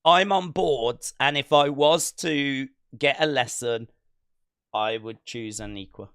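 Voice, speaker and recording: A man co-hosting a podcast, chatting in an excitable, joking way. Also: medium pitch, slow, smooth. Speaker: podcast co-host. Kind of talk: casual podcast banter.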